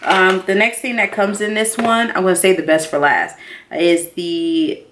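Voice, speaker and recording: A woman in her thirties chatting casually and excitedly to viewers as a beauty vlogger, expressive and slangy, repeating herself for emphasis. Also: lowish voice, normal speed, slight background noise. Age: 30s. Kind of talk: casual excited unboxing chat.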